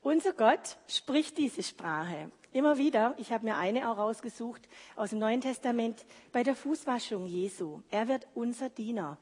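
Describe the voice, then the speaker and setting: Husky-voiced woman preaching in a hall. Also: a little high, lively intonation, darkish tone. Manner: conversational, explaining slowly. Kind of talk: sermon with personal anecdotes. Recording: reverberant hall.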